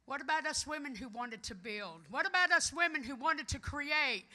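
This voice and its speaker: Bright, high-pitched Southern woman giving a speech. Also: animated, normal speed. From American South, Florida. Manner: emphatic, folksy, loud and declamatory. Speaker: union electrician and trades council president giving a speech. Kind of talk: rousing conference speech. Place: conference hall, addressing an audience.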